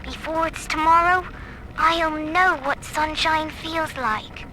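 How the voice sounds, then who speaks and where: A woman's voice: high-pitched, fairly even intonation, slow pace. An actress playing a lonely but defiant young girl, confiding dreamily in her diary in a game. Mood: hopeful, defiant. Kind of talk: secret audio-diary confession. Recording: noisy, hissy vintage audio log.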